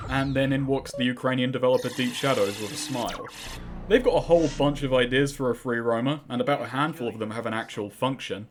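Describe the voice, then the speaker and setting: A man giving scripted, sardonic and profane game commentary. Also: medium pitch. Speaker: game reviewer.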